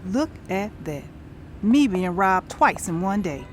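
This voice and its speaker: Sassy, sharp-tongued woman, very expressive. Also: mid-range voice, takes it slowly, slight background noise. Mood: sardonic, teasing. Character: sharp-tongued, indignant, sardonic woman, singer Lorraine. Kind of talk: naturalistic audio drama acting.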